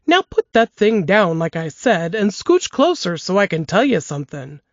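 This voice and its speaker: A woman's voice: medium pitch, big pitch swings, moderate pace, no room sound. A woman voicing an elderly woman in an assisted living home who coaxes her great-grandson into listening with a folksy, teasing manner. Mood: coaxing. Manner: folksy drawl, teasing and conspiratorial. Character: elderly woman storyteller, spry, sly and coaxing. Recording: dry, dull lo-fi podcast audio.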